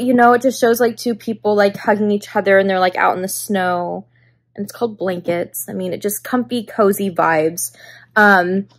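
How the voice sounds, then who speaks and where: A young woman chatting to the camera in a casual vlog, filler-heavy and laughing now and then, seated in her living room. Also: mid-range voice. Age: young adult. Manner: chatty, lots of "like", laughing asides. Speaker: book vlogger.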